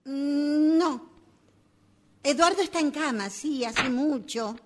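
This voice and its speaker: A woman's voice: sits high, takes it slowly. A woman with an Argentine accent playing a long-suffering, exasperated wife in a comic radio drama. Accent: Argentine Spanish.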